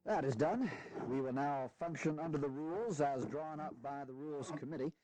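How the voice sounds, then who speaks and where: A man's voice: on the high side, moderate pace, over music, natural voice, low-quality recording. An actor playing a reluctant, dryly humorous retired general and statesman in a historical audio drama, formal and wryly grumbling.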